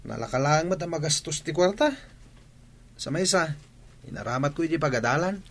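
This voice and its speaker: An actor playing a carefree, cheeky young student who talks flippantly and defensively in a radio drama. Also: male voice, a little high, animated, a little fast, a bit bright. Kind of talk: regional radio drama acting.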